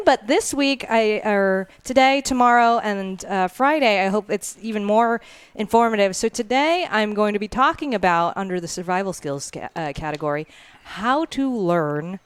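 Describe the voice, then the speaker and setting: A woman's voice: fairly high pitch, lively intonation, moderate pace, clear, resonant tone, no echo. A woman who grew up speaking Thai, co-hosting a casual podcast and chatting through the day's schedule with lots of ums. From Thailand. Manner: chatty, rundown-style, many ums. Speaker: podcast co-host. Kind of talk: casual chat.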